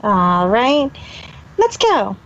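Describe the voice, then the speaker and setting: A woman's voice: medium pitch, sing-song, extremely slow, dull audio, heavily acted. A woman voicing a proud, easily flustered cartoon pony magician who talks about herself in the third person, in a fan fiction reading. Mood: composed. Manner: self-important third-person speech.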